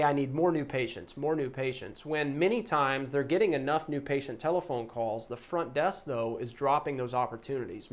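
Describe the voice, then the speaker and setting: A man presenting a promotional explainer as a trainer, talking in an emphatic, conversational way and posing rhetorical questions. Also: medium pitch. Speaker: host and practice-management trainer pitching a service. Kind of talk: promotional explainer to camera or listener.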